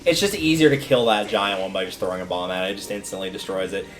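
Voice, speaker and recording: A man's voice: low voice, fast talker. A man livestreaming a video game, giving casual commentary and thinking aloud in a conversational way as he plays. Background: video game music.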